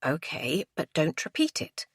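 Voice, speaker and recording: Woman reading a practical, lively, no-nonsense mother. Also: mid-range voice, measured pace, husky, dry recording, studio quality, exaggerated voice. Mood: scolding.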